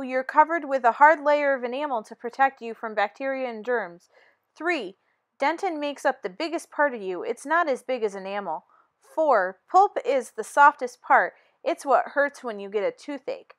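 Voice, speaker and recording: A woman's voice: high voice, a bit bright. A woman voicing an encouraging dentist teacher who explains things to a class in a storybook. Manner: instructive classroom delivery.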